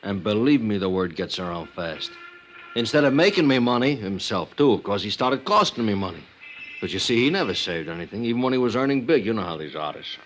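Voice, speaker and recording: An actor playing a defensive, blustering booking agent with an American accent in a 1950s radio crime drama. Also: male voice, on the low side, expressive, brisk, slight background noise, close to an everyday voice, poor audio. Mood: self-justifying. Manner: blustering, repetitive, streetwise wise-guy talk. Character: brash, defensive, shady male booking agent with a temper.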